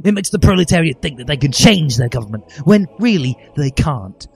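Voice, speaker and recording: A man's voice: fairly high pitch, big pitch swings, fairly quick, gravelly, pitched above the performer's own voice. A man voicing an angry, self-righteous revolutionary teenage boy with an indignant, sarcastic, ranting delivery.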